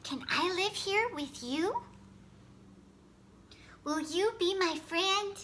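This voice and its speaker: A woman's voice: very high voice, animated, slow pace, pushed and bright, slight background noise, voice pushed up, exaggerated voice. A woman voicing a timid, friendly little male fox in a storybook, earnest and childlike, pleading as he talks. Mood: pleading, hopeful.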